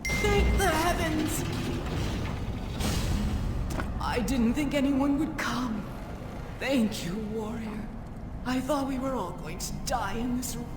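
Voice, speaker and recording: A woman's voice: sits high, lively intonation, measured pace. A woman playing a half-blind old prisoner in a video game, plaintive and earnest. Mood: relieved, grateful. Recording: long reverb, music behind.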